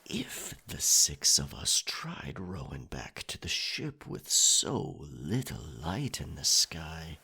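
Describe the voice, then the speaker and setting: Male actor as dominant catman, ASMR scary storytelling, husky and creaky, theatrical, unhurried. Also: low-pitched, sharp tone, full character voice. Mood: sly reassurance. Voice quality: breathy, gravelly half-whisper. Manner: slow, theatrical, deliberate pauses. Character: pirate captain werecat, dominant, ominous campfire storyteller.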